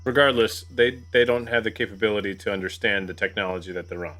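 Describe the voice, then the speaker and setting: A man's voice: fairly low pitch, flat delivery, quick pace, fairly bright tone. A man talking casually as a player in a tabletop roleplaying game. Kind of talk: casual game-table talk, partly in character.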